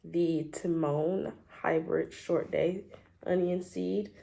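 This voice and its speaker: A woman's voice: lowish voice, steady tone, takes it slowly, vocal fry, dark tone. A woman with a stuffy, scratchy voice from a cold, chatting casually at her table at home, with frequent ums as she shows her things. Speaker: homestead gardening vlogger. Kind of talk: casual chatty show-and-tell.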